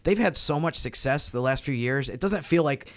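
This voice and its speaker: A man with a medium-pitched voice talks sports as a podcast panelist. He lays out his reasoning in a casual, analytical way. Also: animated, normal speed.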